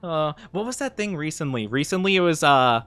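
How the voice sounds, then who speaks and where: A man's voice: fairly high pitch, expressive, fairly quick, a bit bright, clear, resonant tone, no room sound. A man streaming live, chatting casually with viewers in a playful, mock-offended way, laughing often, his voice wearing thin. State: losing his voice. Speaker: VTuber streamer.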